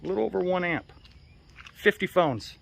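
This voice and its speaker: A man's voice: sits high, expressive, normal speed, gravelly, fairly bright tone. An American man talking in a rambling, casual walk-and-talk vlog, swearing often in slangy, easygoing speech. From United States. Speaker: vlogger.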